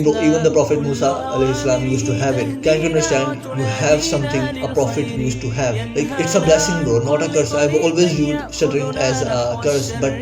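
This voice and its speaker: A young man giving earnest, casual advice, with repeated "bro" and "like" and small restarts. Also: mid-range voice. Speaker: student giving self-help advice. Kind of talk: casual advice talk to camera. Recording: distant mic with clear background music. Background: nasheed vocals.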